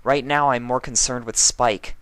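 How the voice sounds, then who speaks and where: A young cartoon unicorn mare played by a man, raised well above a natural male pitch. She is earnest and take-charge, with a worried, pleading edge. The delivery is bright and emphatic. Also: steady tone, moderate pace, smooth, no echo, natural voice. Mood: worried, frustrated. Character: earnest, anxious young female unicorn pony, bossy but caring.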